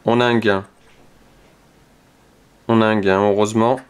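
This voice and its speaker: A man hosts a scratch-card video, calmly checking numbers one by one and muttering softly as he scratches. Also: fairly low pitch, unhurried, clear, resonant tone. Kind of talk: casual play-by-play while scratching tickets.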